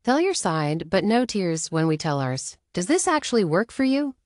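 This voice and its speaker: A woman's voice: lowish voice, very animated, moderate pace, darkish tone, no echo, clean studio sound. A woman dramatically reading the part of a woman standing up to a bully, firm and curt. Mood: dry, dismissive. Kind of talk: dramatic reading of a text exchange.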